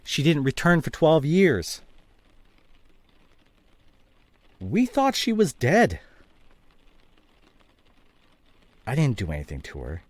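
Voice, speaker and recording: A man playing a guilt-ridden, defensive estranged husband and father in a dramatic audio roleplay, speaking emotionally and haltingly with a husky voice. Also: a little high, huge pitch swings, no room sound. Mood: defensive, agitated. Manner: emotional, halting, swinging from muted to heated. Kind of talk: dramatic audio roleplay, one side of a conversation.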